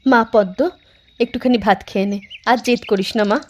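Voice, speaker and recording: A woman's voice: medium pitch, steady tone, normal speed. A woman voicing a caring, pleading village mother in a horror cartoon. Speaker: voice actor for mother and young girl. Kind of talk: cartoon voice acting. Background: music.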